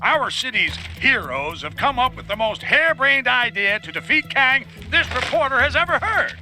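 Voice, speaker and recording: A very high, nasal-sharp male reporter from a video game sneers at the heroes between bulletins. His delivery is quick and broadcast-styled. Clear music plays under him. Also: very animated, exaggerated voice. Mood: snarky. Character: excitable male news reporter mocking heroes. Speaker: news reporter.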